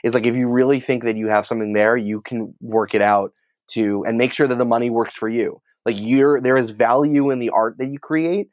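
A man's voice: mid-range voice, fairly even intonation, brisk, no room sound, highs cut off. An American man answering interview questions in an informal, emphatic, conversational way, peppering his speech with "like". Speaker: podcast producer and writer, interview guest.

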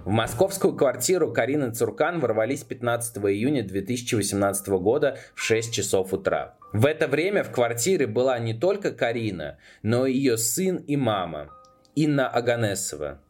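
A man's voice: mid-range voice, expressive, a little fast, a little mellow. A man narrating a scripted documentary podcast as its narrator. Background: background music.

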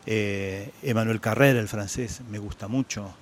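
A man's voice: fairly low pitch, unhurried, slight background noise. A man in his sixties with an Argentine accent, a guest writer talking about his favorite authors at a book fair, reflective, repeating words for emphasis, with many hesitation sounds. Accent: Argentine Spanish. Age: 60s.

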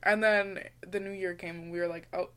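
A woman's voice: fairly low pitch, fairly even intonation, fairly quick, fairly bright tone. A woman with a slight lisp, co-hosting a podcast in casual banter with a bossy, teasing manner. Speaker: podcast host. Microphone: close to a shared podcast mic.

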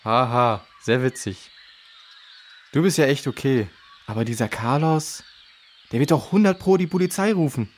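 A man's voice: mid-range voice, normal speed, pauses often, close to an everyday voice. A man playing a defensive teenage boy who acts tough, talking in slangy, hesitant bursts in a children's audio drama.

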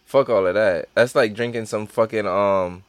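A man's voice: lowish voice, normal speed, no echo. A man with a Connecticut accent, co-hosting a casual podcast chat, loose and laughing, swearing freely. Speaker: podcast co-host.

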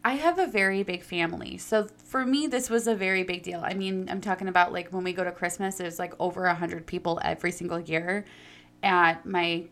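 A woman's voice: lowish voice, steady tone, brisk, fairly bright tone. A young adult woman speaking candidly about her own life, earnest and emphatic, stretching out her stressed words. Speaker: personal storyteller. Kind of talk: candid personal talk.

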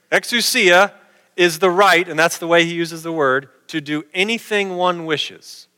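Bright-voiced man preaching in a hall. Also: on the high side, clean tone. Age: middle-aged. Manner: conversational, posing rhetorical questions. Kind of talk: sermon.

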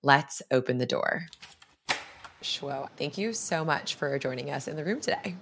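A woman's voice: sits low, animated, unhurried, creaky, a bit bright, slight background noise. A young woman hosting a podcast, moving between scripted introduction and interview questions. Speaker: podcast host.

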